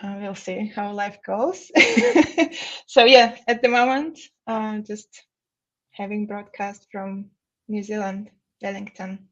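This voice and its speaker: Female interview guest, Russian accent, slow halting delivery, casual, medium pitch, muffled lo-fi sound. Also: steady tone, a bit bright.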